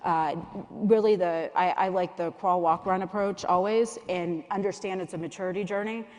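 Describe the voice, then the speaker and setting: Low-voiced woman on a conference panel. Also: steady tone, normal speed, a little mellow. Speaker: guest speaker. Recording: long hall reverb.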